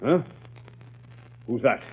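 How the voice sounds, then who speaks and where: An actor playing a brusque, self-satisfied police commissioner in 1930s radio style. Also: male voice, takes it slowly, natural voice. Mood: brusque, triumphant. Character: gruff, triumphant male police commissioner. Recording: tinny old radio recording.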